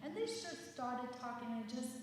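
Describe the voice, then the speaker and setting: A woman's voice: fairly high pitch, lively intonation, moderate pace, a little hiss or hum. Give me a woman from Syria sharing a story on a panel, heard from far off in a reverberant hall. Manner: warm, hesitant, many fillers. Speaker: campus staff panelist. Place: conference hall.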